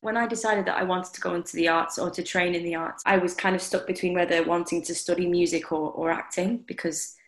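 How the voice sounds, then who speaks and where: A woman's voice: on the low side, steady tone, brisk, hardly any pauses. A young woman with a Lancashire accent, answering interview questions in a thoughtful way with frequent restarts. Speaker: guest theatre maker and composer. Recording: roomy echo.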